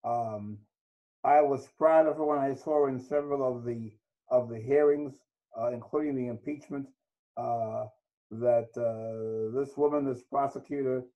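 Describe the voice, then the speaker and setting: A man's voice: medium pitch, steady tone, unhurried, dull audio. An older man from Trinidad, a guest author, telling his life story in a reflective interview. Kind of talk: interview conversation.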